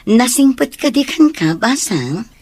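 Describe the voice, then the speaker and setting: A woman's voice: on the high side, sing-song, unhurried, a little mellow, breathy edge, full character voice. A woman playing a kindly, sympathetic older neighbour in a radio drama, speaking indulgently. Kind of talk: Ilocano radio drama acting.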